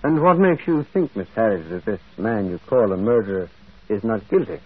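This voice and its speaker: An actor playing a kindly, shrewd priest with an avuncular, musing manner in a radio drama. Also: male voice, lowish voice, lively intonation, a little fast, breathy edge. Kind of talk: 1940s radio drama acting. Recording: dull old radio broadcast.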